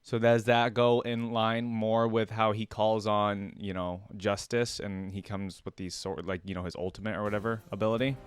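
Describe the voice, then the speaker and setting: A man's voice: lowish voice, little pitch movement, normal speed, hardly any pauses, smooth, dry recording, clean studio sound. A man hosting a reaction video, giving slangy, musing fan commentary in a casual way, with short interjections.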